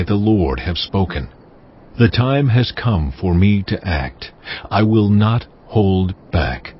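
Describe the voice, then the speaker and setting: An actor playing a stern, wrathful God, speaking gravely and commandingly in a dramatized audio Bible. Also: male voice, deep voice, a little hiss or hum. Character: God, stern wrathful sovereign Lord pronouncing judgement. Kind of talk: dramatized audio Bible, solemn scripture oration. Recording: dull, muffled audio with music. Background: cinematic music.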